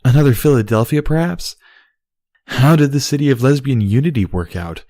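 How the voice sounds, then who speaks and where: A man voicing a female immortal pony princess, a formal and dutiful younger sister who argues in measured, stilted diction in a storybook reading. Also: mid-range voice, animated, warm tone, no room sound, clean studio sound, pitched above the performer's own voice, lightly acted. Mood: arguing.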